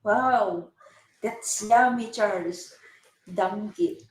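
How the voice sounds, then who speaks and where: A woman's voice: medium pitch, drawn-out words, echoey room. A woman co-hosting a casual live-stream chat, giving short replies and greeting viewers by name. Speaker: co-host. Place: home in the Philippines.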